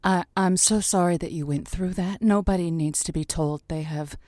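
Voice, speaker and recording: A woman's voice: fairly low pitch, moderate pace, a little mellow, no echo, studio quality, lightly acted. A woman playing an earnest, sympathetic radio call-in host in a comedy, speaking with some hesitation. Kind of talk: improvised comedy radio show acting.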